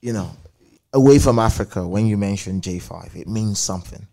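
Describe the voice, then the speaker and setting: A man's voice: on the low side, brisk, creaky, dark tone. A man conducting a conversational interview, reflective, building questions in repeated phrases.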